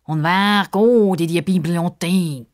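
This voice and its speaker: Woman voicing a pompous, slow-drawling gentleman crocodile. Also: on the low side, pitched above the performer's own voice. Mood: questioning. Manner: stilted, formal, drawn-out speech. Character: pompous, fussy, formal talking crocodile, male.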